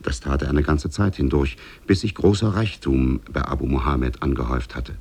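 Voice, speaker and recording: Very deep, gravelly male storyteller. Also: steady tone, normal speed, darkish tone. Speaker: narrator. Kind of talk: scripted storybook narration, 1950s German radio.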